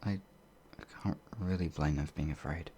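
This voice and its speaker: A man's voice: rumbling low, fairly even intonation, moderate pace, dark tone, slight background noise. A man playing a timid, apologetic werewolf farmhand in an audio roleplay, hesitant and rambling, stammering as he goes. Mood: meek, fearful. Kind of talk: ASMR audio roleplay monologue.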